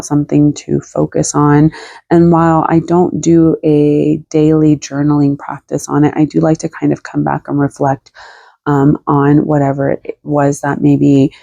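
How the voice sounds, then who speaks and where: A woman with a cold, a bit raspy and congested, talking casually about her spiritual practice with many fillers. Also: sits low, warm tone, dry recording, dull audio. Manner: rambling, with many fillers and hedges. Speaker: tarot reader and spiritual content creator. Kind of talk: casual talk to camera.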